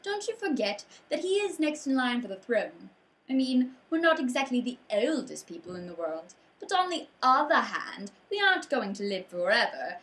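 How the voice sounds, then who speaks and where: A woman's voice: fairly high pitch, big pitch swings, moderate pace, a bit bright. A woman acting an overbearing, doting middle-aged queen mother in a comedic stage monologue, with a fussy, emphatic, theatrical delivery. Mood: insistent, exasperated.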